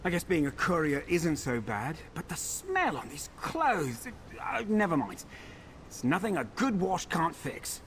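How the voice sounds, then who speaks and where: A man's voice: fairly high pitch, huge pitch swings, measured pace, a bit bright, a little hiss or hum, full character voice. An actor voicing a pampered, indignant male elf in a fantasy game, complaining in a prissy, theatrical way. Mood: disgusted, indignant.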